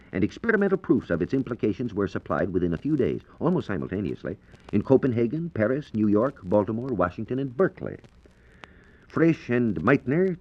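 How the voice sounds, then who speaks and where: A man with an American accent giving a formal, explanatory scripted science lecture as a 1940s radio reporter. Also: fairly low pitch. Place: radio studio. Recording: dull, lo-fi 1940s radio recording.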